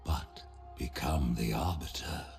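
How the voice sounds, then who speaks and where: A man playing a regal, scheming alien high prophet in a video game, speaking in a measured, imperious, formal way with a gravelly voice. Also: a little mellow, soft background music. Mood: grave, persuasive. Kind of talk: cinematic video game acting.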